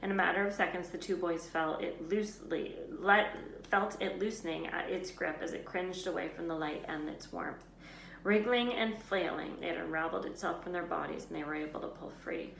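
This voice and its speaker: A woman reading a children's novel aloud as an expressive storyteller. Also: lowish voice, normal speed, gravelly. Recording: roomy echo, faint background noise.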